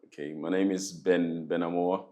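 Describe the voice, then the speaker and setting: A man in his thirties from Ghana answers questions about his childhood, pausing often and filling gaps with "um" and "like." His voice sits very low. He laughs easily between answers. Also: fairly even intonation, darkish tone. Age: 30s. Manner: hesitant, many fillers, laughs often. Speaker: interview guest. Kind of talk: casual life-story interview.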